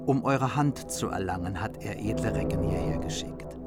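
An actor playing a noble margrave who speaks as a formal, earnest envoy in a radio play. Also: male voice, fairly low pitch, moderate pace, natural voice. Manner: solemn, formal courtly speech. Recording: music under the voice.

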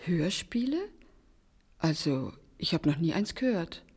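A curious, admiring secretary in a small-town drama. Her voice is fairly low for a woman and noticeably breathy, with an inviting, flirtatious manner. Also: big pitch swings, measured pace, a little mellow, full character voice. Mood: eager, curious. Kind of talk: naturalistic drama dialogue.

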